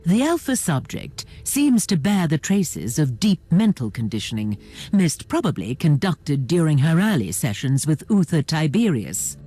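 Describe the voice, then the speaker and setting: An actor playing a formal, analytical adviser in a video game, giving precise clinical reports to a commander. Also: male voice, fairly high pitch, big pitch swings, a little slow, dark tone, slightly rough, faint music behind, full character voice. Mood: clinical, measured. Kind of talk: video game cutscene acting.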